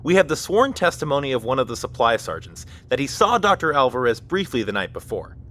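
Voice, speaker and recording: A man's voice: mid-range voice, big pitch swings, fairly quick, a bit bright, a little hiss or hum. A man playing a stern, by-the-book military officer in an audio drama, speaking formally and procedurally. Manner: formal, procedural, addresses others as sir and ma'am.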